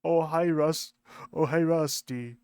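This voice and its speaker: A grandiose, scheming communist leader, as a man puts him on for an amateur lore video. He declaims slowly in a put-on foreign accent that drifts as he goes. The voice sits a little high, smooth and clear, with no room sound. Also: darkish tone, no echo. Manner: grandiose, slow declaiming speeches.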